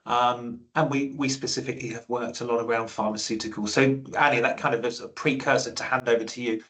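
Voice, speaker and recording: Halting man introducing a webinar speaker. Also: mid-range voice, fairly even intonation, a bit bright. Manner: halting, many fillers. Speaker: webinar host. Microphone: video call.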